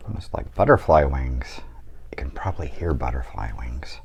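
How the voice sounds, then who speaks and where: A man's voice: a bass voice, sing-song, moderate pace, slight background noise. A man giving a casual, playful drawing tutorial for kids, rambling and trailing off mid-thought. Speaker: guest artist giving drawing lesson.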